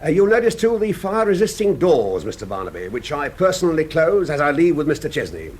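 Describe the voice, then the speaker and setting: An actor playing a smooth-talking English production manager in a radio drama, obliging, confident and slightly pompous. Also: male voice, a little high, sing-song, quick pace, darkish tone. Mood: confident, brisk. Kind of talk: mid-century British radio drama acting.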